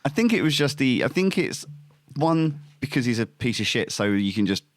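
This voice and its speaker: A man's voice: medium pitch, sing-song, normal speed, no room sound, faint music behind. A man co-hosting a podcast in casual chat, blunt and sarcastic with plenty of swearing. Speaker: podcast co-host.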